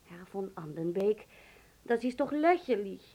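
An actress playing an excitable middle-class Berlin aunt in a radio drama, exclaiming and mixing in German words. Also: female voice, medium pitch, measured pace. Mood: distressed, indignant.

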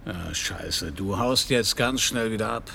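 Low, gravelly hard-boiled detective. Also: male voice, unhurried, bright, forceful tone, a little hiss or hum, full character voice. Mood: tough. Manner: dry, laconic. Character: hard-boiled, world-weary male private detective. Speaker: narrator and lead. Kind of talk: German crime radio drama.

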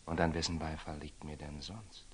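An actor playing a proud, tormented young Knight Templar in a classical German radio drama, impulsive and declamatory, moving between muttering and outbursts. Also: male voice, extremely low pitch, flat delivery, moderate pace, a bit bright, a little hiss or hum. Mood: brooding, defiant. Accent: standard German.

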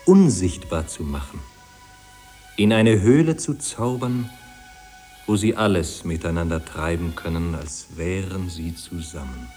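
A deep-voiced lyrical narrator surveys city life in a fifties radio drama. He speaks very slowly in measured poetic fragments, with faint music behind him. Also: darkish tone. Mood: emphatic.

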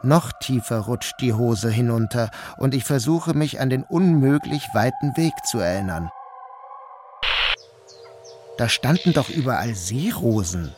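A man narrating a literary novel in the first person, in a measured, subdued, dreamlike tone. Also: mid-range voice, expressive, noisy background. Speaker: audiobook narrator.

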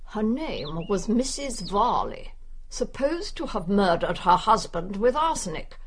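Elderly English spinster, blunt, probing, gossipy, animated swooping delivery, radio drama. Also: female voice, mid-range voice, a little slow, a little hiss or hum. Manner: brusque, probing, wryly gossipy.

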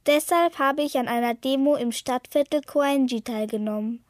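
An earnest, anxious young female protester voiced by a woman in a radio play. The voice is high and closely recorded with a dry sound, telling things in a simple, sincere way. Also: no echo, close to an everyday voice. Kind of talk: scripted radio-play monologue, read testimony.

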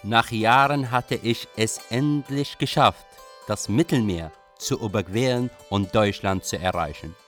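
A man acting as a young Iraqi refugee, telling his story in a measured radio play narration. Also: lowish voice, fairly bright tone, faint music behind. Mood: sober, reflective.

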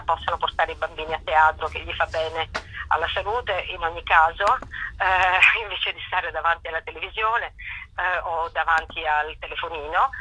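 A woman with a slightly husky voice, explaining things phrase by phrase with hesitations as a radio interview guest. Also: lowish voice, bright, forceful tone, poor audio. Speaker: interview guest, stage actress. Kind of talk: spontaneous radio interview, promoting a stage show.

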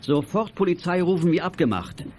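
An actor playing a friendly, calm father who helps child detectives by CB radio in a children's audio drama. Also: high voice, brisk, a little hiss or hum. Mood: urgent, commanding. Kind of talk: 1980s German children's audio drama acting.

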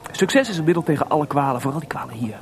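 A restless, gloomy composer in a radio drama: lighter-pitched, halting, theatrical, busy crowd in the background. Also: male voice, expressive. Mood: brushing it off. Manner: hesitant, searching for words, theatrical. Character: Mozart, restless, melancholy composer, proud but adrift. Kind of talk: Dutch radio play acting.

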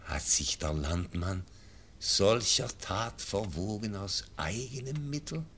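A man's voice: on the low side, animated, fairly bright tone, a little hiss or hum. A dying old nobleman, whispering. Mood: solemn. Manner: slow, fading, drops into whispers. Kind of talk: classic radio drama verse.

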